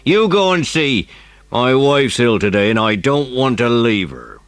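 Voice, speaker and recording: Man voicing a hoarse, scruffy old cottager. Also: medium pitch, sing-song, measured pace, no echo, pitched above the performer's own voice. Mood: gruff concern.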